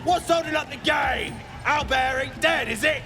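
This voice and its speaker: A man playing a boastful, belligerent goblin brute in a video game, with a gravelly voice and an English working-class accent. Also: very high-pitched, moderate pace, raised voice, music in the background, crowd noise. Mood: rowdy, impatient. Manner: belligerent, taunting. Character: boastful, bullying goblin brute who loves drink. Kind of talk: video game character acting.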